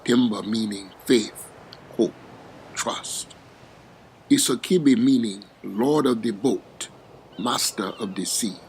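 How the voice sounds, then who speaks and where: A man playing an enslaved African boatman who recounts his capture in a slow, formal, solemn monologue. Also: on the low side, a little mellow, a little hiss or hum. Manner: slow, measured, formal speech with grave pauses. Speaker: narrator in character. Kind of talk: dramatic first-person monologue.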